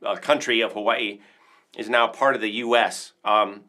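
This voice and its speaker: A man's voice: on the low side, steady tone, moderate pace, sharp tone. A man hosting a casual livestream as a percussion teacher, talking through instruments in an informal, rambling, enthusiastic way.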